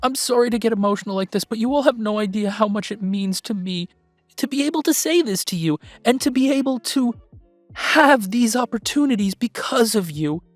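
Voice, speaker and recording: A woman voicing a young male werewolf streamer, soft-hearted and excitable, talking to viewers in halting, heartfelt, informal chatter in an audio roleplay. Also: on the low side, no room sound, faint music behind, close to an everyday voice. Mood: heartfelt confession.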